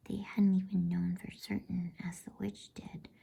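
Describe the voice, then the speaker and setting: A woman reading softly in a breathy whisper, in a soothing, unhurried ASMR bedtime story style. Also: lowish voice, little pitch movement. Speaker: host and storybook reader.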